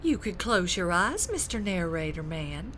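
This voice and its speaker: A man-hungry, pouty political wife in a comic audio drama. She drawls slowly and flirtatiously, with big swoops of pitch. Faint noise sits behind her. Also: sing-song, a bit bright. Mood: seductive. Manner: flirtatious, languid, dismissive. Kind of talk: comedic audio drama acting.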